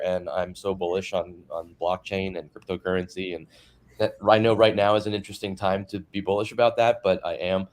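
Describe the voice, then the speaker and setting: A deep-voiced man speaks over a video call. He reflects in a relaxed, rambling way, circling back on his words with frequent fillers. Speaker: party guest. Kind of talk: casual online video chat.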